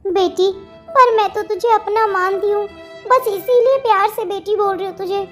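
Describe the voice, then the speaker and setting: Someone voicing a high-pitched, worried elderly mother-in-law. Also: fairly even intonation, moderate pace, fairly bright tone, faint music behind. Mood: pleading. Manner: pleading, motherly, repetitive endearments. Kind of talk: Hindi family comedy cartoon dialogue.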